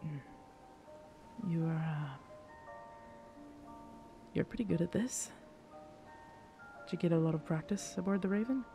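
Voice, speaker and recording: Slow-talking, world-weary pirate captain over music acted out. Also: medium pitch, mellow. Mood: awkward, softly teasing. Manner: slow, hesitant, halting, rough pirate phrasing. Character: world-weary cursed pirate captain, gruff, guarded yet tender. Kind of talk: ASMR roleplay audio drama, intimate second-person scene.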